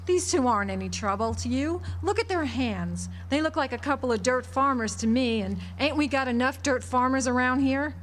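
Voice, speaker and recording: A woman's voice: high-pitched, lively intonation, normal speed, few pauses. Blunt, worldly small-town dame played by a woman. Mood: firm, defiant. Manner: blunt, assertive, world-weary. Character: worldly, tough small-town redhead, bossy. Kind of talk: live radio drama acting. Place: live theater stage. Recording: noisy live amphitheater stage recording.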